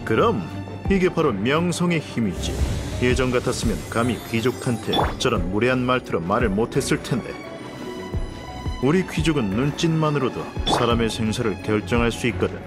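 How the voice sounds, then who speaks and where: A man's voice: mid-range voice, animated, normal speed, over music, natural voice. A male actor playing a haughty, condescending nobleman in a video game dub, speaking in a pompous, formal aristocratic way. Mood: boastful. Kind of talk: dubbed video game dialogue.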